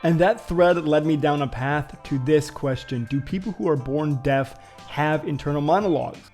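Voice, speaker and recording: Musing man with soft music behind. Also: on the high side, moderate pace. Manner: musing, thinking aloud with many fillers. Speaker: vlogger host. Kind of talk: casual reflective vlog talk.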